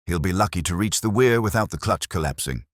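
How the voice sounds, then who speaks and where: A man voicing a calm, dry-witted police inspector who speaks in clipped, unhurried lines, in a spoken story. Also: low-pitched, no room sound. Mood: brisk.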